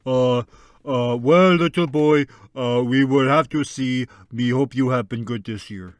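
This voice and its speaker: A man playing an earnest, muddled mall Santa in a comedy radio skit, halting and rambling with garbled grammar. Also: medium pitch, clear, resonant tone, no echo, studio quality, close to an everyday voice.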